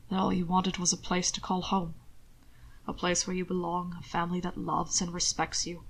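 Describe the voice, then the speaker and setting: Brief: woman reading a solemn devoted man, hushed and measured, low soft voice. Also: level tone, vocal fry, a little hiss or hum, poor audio. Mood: tender, remorseful. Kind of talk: audiobook reading.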